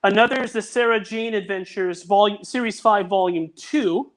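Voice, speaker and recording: Earnest man talking fast with many restarts. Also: high-pitched. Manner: earnest, restarts and repeats words often. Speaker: audio drama producer and guest. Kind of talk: casual podcast chat.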